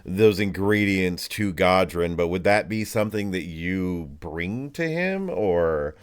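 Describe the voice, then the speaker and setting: A man's voice: low-pitched, expressive, takes it slowly, clean tone, no room sound, studio quality. A man running a tabletop roleplaying game as game master, narrating and bantering in an informal, jokey way.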